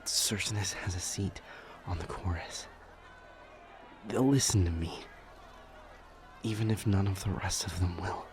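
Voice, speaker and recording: A man playing a shaken, devoted demon lover in an audio drama, halting and breathy as he speaks. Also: low-pitched, steady tone, background noise. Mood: urgent, desperate. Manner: halting, stammering, breathy urgent delivery. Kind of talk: intense dramatic audio roleplay acting.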